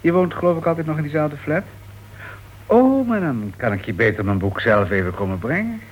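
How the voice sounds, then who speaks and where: A faintly noisy old radio play recording of a smug, menacing ex-lover. He talks down with mocking, insinuating condescension. His pitch moves freely and theatrically. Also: sing-song, fairly quick. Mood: smug, teasing. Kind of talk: Dutch radio play acting.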